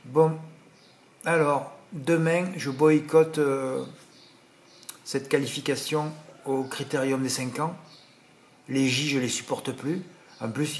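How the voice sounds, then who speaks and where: A man's voice: medium pitch, slight background noise. A man with a regional French accent giving horse racing tips in an indignant, rambling monologue, peppered with tag words. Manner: indignant, rambling, full of "hein" and "voilà". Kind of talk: casual monologue to subscribers.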